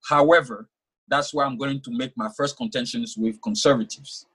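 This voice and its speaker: A man's voice: medium pitch, fairly even intonation, normal speed, fairly bright tone, breathy edge. A young man whose first language is French, speaking earnestly as an author in an interview and often repeating words while he thinks. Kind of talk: conversational interview.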